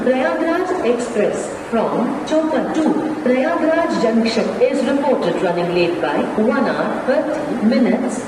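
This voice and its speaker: A woman with an Indian accent reading formal, segmented train announcements over a railway station loudspeaker. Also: fairly high pitch, distant mic. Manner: formal, segmented automated phrasing. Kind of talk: scripted public address train announcements. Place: railway station public address system. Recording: echoey station loudspeaker from afar, noisy ambience.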